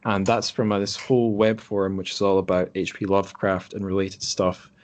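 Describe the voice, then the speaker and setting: Low-pitched man hosting a casual podcast. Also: flat delivery, fairly quick, slight background noise. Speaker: podcast host. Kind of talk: casual group chat. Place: remote group call.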